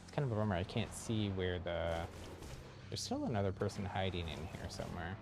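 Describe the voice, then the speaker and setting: A man's voice: low voice, a little fast, gravelly, background noise. A man giving casual live gameplay commentary, chatty and thinking aloud as he plays. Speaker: livestream gamer.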